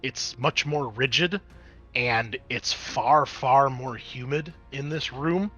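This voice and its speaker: A man acts as game master for a tabletop roleplaying session. His voice is bright and forceful at a middling pitch. He moves between offhand explanations and dramatic description of the scene, with faint music underneath. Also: takes it slowly, highs cut off.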